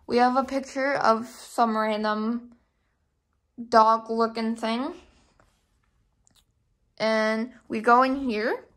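A young woman chats casually to a camera as she moves through her home. Her voice sits a little high and she talks very slowly. She is hesitant and rambling, working out her thoughts aloud. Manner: hesitant, halting, thinking aloud. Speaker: vlogger giving a house tour. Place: rooms of a home, indoors. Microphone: handheld camera or phone at arm's length.

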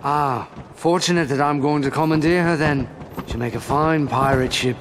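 Man as swaggering pirate rogue, lightly raised pitch, flippant, sly, theatrical, game dialogue. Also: normal speed, a little hiss or hum, natural voice. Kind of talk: video game voice acting.

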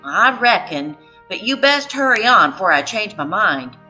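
Lady doing a folksy southern grandma from a storybook, firm but loving, emphatic and bright. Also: medium pitch, sing-song, a little slow, faint music behind, low-quality recording. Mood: firm, caring.

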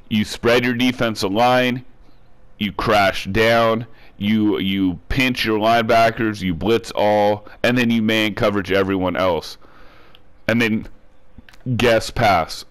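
A man with a cold and a stuffed-up nose, talking through a video game defense step by step in a casual voice-over, often repeating and restarting himself. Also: on the low side. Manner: repetitive step-by-step instruction with frequent restarts. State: congested from a cold. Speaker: gaming tutorial creator.

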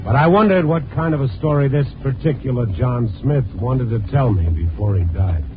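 A tinny old radio broadcast with music behind a man playing a world-weary newspaper reporter. He has a medium-pitched voice and a terse, wry, hard-boiled delivery from 1950s radio drama. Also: moderate pace, lightly acted. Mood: matter-of-fact.

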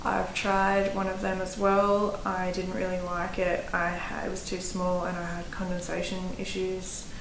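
A woman's voice: on the low side, monotone, unhurried, creaky, few pauses. Australian woman vlogger, roomy indoor sound. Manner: chatty, practical, with fillers. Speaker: hiking vlogger. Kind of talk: casual gear advice to camera. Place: small room indoors. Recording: roomy echo, faint background noise.

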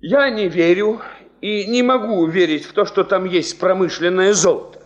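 An actor playing a principled, skeptical mining engineer in a 1970s Soviet radio play, speaking in a measured, formal, resolute way. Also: male voice, high voice, close to an everyday voice. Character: principled, skeptical male veteran mining engineer and party leader. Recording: dull, band-limited 1970s radio recording.

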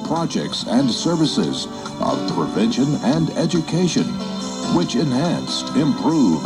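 A man reading scripted announcements as an oldies radio station announcer. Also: medium pitch. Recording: FM radio aircheck, music underneath. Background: music.

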